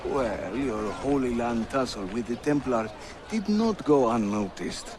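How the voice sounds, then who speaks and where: An actor playing a jovial, witty Turkish assassin leader who guides newcomers, with a Turkish accent and a teasing, theatrical delivery in a video game. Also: male voice, mid-range voice, lively intonation, measured pace, noisy background, close to an everyday voice. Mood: amused. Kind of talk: video game character acting. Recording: loud music behind the voice.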